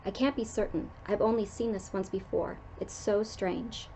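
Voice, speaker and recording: A woman reading aloud who voices an older female palm reader, grave and ominous, in slow, solemn fortune-telling tones. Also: mid-range voice, fairly even intonation, dark tone, slight background noise, natural voice, poor audio. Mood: puzzled.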